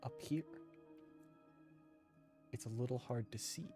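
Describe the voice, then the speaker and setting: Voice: medium pitch, unhurried, warm tone, soft background music. A streamer giving casual video game commentary and reading game text aloud.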